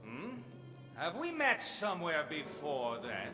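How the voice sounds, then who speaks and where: A man's voice: a little high, wildly swooping pitch, slow pace, heavily acted. A man playing a courteous, fanatical doomsday cult leader in a video game, ceremonious and unctuous. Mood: serene, welcoming. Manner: unctuous, ceremonious, over-polite. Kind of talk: theatrical video game voice acting. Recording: echoey hall reverb over game music.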